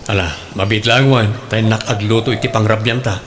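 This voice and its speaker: A man's voice: lowish voice, fairly even intonation, a little fast, close to an everyday voice. Male actor as adult son, frank, casual, Ilocano accent, comedic radio drama, light background noise. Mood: matter-of-fact.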